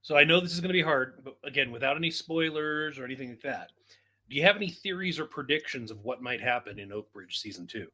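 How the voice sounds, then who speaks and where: A man's voice: medium pitch, animated, quick pace, sharp tone. A man hosting a casual podcast interview, putting informal questions to his guests.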